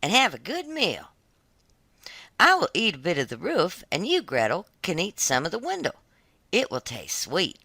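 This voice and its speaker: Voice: mid-range voice, huge pitch swings, normal speed, bright, forceful tone, no echo, heavily acted. A storybook narrator voicing a young boy, a confident and comforting big brother, earnest and reassuring. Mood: eager.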